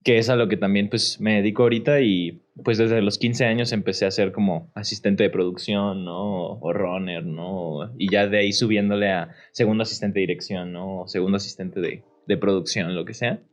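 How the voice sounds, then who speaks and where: A man's voice: lowish voice, fairly even intonation, moderate pace, few pauses. A man with a Mexican accent chatting casually as an actor guest on a podcast, slangy and peppered with tag questions. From Puerto Vallarta, Mexico. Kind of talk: casual chat.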